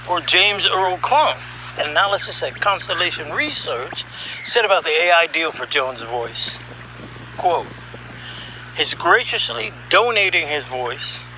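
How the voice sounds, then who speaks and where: Male storyteller, high voice, conversational narration, wry and musing, emphatic pauses, clearly noisy background. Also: bright, forceful tone. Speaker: storyteller and voice session director.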